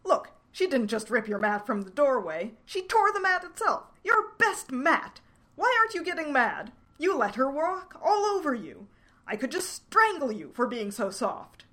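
A woman's voice: sing-song, measured pace, few pauses, a bit bright, exaggerated voice. A woman voicing a tiny furious male yam creature who rants indignantly. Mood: ranting. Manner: shrill, indignant, ranting.

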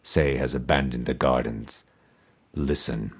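A man's voice: rumbling low, steady tone, breathy edge, voice pushed down, full character voice. A man doing a toneless, commanding dead emperor's skull. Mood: cold commanding. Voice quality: resonant, toneless. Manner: slow, commanding.

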